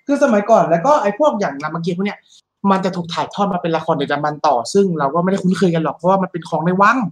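A man co-hosting a podcast, chatting casually in a crude, slangy, excitable manner. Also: sits high, racing. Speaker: podcast co-host. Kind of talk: casual chat.

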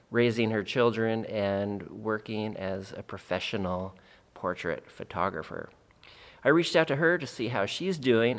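A man's voice: fairly low pitch, big-room sound. A man hosting a podcast, talking reflectively and repeating phrases for emphasis, then interviewing a guest. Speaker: podcast host. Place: Central Oregon.

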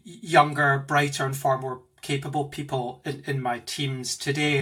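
A man's voice: medium pitch, slightly rough. A man in his forties with a Glaswegian accent, an enthusiastic guest telling stories about his career in a podcast interview from his home office. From Scotland. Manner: animated, enthusiastic storyteller. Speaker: guest, tech executive.

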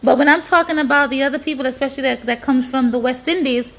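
High-pitched woman on an emphatic rant. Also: steady tone, fast talker, a bit bright. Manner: emphatic, exasperated, rhetorical questioning; colloquial, frequent swearing. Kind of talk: opinionated monologue rant.

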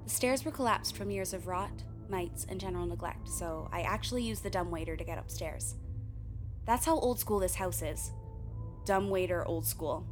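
A woman's voice: medium pitch, natural voice. A woman playing a candid, wry, uneasy young filmmaker who narrates a radio play in a confiding way, with tangents and swearing. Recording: music behind the voice.

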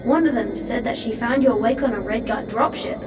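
A woman's voice: fairly high pitch, normal speed, natural voice. A woman playing an accusing, distrustful, commanding figure with intense delivery in a sci-fi audio drama. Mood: suspicious. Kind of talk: audio fiction drama acting. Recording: distant, echoing voice over music. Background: dramatic music.

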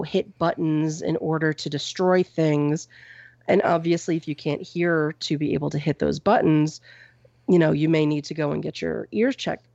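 A woman with a gravelly, slightly low voice talks through a news item in a relaxed, rambling way, dotted with "um" and "you know". She is recorded close and dry on a boom-arm mic at home. The sound is a little dull and lo-fi. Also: dry recording. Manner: reads out a news story, then riffs on it loosely with "um" and "you know". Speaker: podcast co-host reading news. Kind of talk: casual news chat. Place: at home with a cat nearby. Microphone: microphone on a boom arm.

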